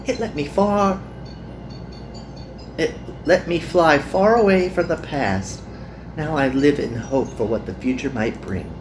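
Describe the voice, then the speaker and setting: A woman voicing a kind, earnest young magical girl in a visual novel, with gentle and formal phrasing. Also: sits low, measured pace, background noise. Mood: hopeful.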